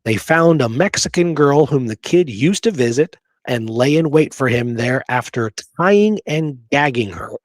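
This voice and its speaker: A man's voice: medium pitch, lively intonation, unhurried, few pauses, no echo. A man co-hosting a history talk show, reading out quoted passages and adding informal asides of his own. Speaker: podcast co-host.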